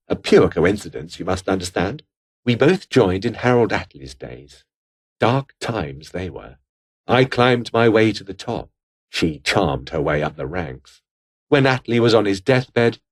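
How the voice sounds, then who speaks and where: Game role: pompous, scheming British officer, coldly ambitious, with widely swinging intonation. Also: male voice, lowish voice, husky. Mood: bitter, disdainful. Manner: pompous, formal, disdainful. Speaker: video game voice actor. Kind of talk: scripted game character dialogue.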